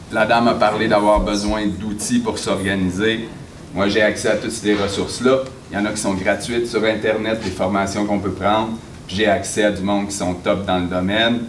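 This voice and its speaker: A man speaking at a public meeting, direct and informal. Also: lowish voice. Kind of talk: unscripted public comment. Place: meeting hall. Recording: echoey room, distant mic, background noise.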